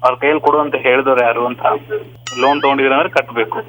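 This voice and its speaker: A man's voice: mid-range voice, fairly even intonation, rapid-fire, fairly bright tone, slight background noise, poor audio. A man answering a loan question on the phone in a blunt, impatient manner. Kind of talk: phone conversation.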